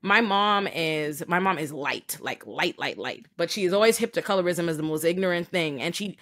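A woman's voice: lowish voice, animated, moderate pace, a bit bright. A young woman host tells a story candidly and emphatically into a podcast mic from a room at home. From Bahamas. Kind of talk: casual interview talk. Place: home room with plants and bookshelf. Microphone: large podcast microphone.

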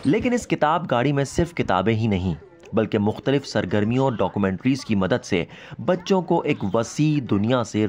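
A man narrating a scripted news report in a measured, formal voice. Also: fairly low pitch, darkish tone.

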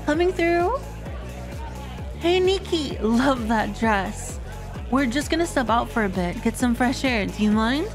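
Woman voicing a sweet, unhurried young girlfriend. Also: a little high, expressive, over music. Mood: bubbly. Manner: gentle, soothing, giggly, casual. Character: sweet, caring young gyaru girlfriend, friendly and reassuring. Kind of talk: roleplay audio drama, intimate comfort scene.

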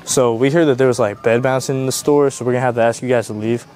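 A man's voice: mid-range voice, steady tone, fast talker, a little mellow. A young man making prank videos, talking in a casual, slangy, joking way. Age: young adult. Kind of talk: casual vlog chat and deadpan pranking. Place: busy street and large stores. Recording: noisy location sound with traffic and ambience.

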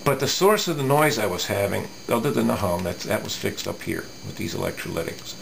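A middle-aged man giving a casual hands-on technical explanation, thinking aloud haltingly with folksy asides. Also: lowish voice, lively intonation, creaky, slight background noise, rough recording. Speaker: radio repair hobbyist presenting. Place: indoor electronics workbench.

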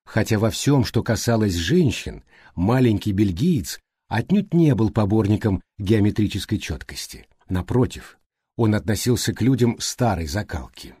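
A man reads a novel aloud with deliberate, measured phrasing. His voice is mellow and slightly husky, at an ordinary male pitch. The recording is dry, with no room sound. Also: lively intonation, no echo. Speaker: audiobook narrator. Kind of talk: scripted literary narration.

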